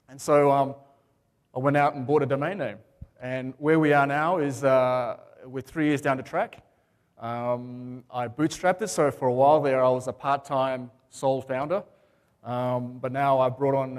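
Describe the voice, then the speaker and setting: A man, giving a talk on a conference stage in a large hall. He talks in a relaxed, rambling way with lots of ums and uhs. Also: medium pitch. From Australia. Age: 40s. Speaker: conference speaker.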